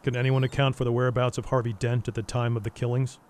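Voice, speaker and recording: An actor playing a grim, stern vigilante detective in a noir radio drama, terse and brooding. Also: male voice, mid-range voice, level tone, fast, darkish tone, slight background noise, lightly acted.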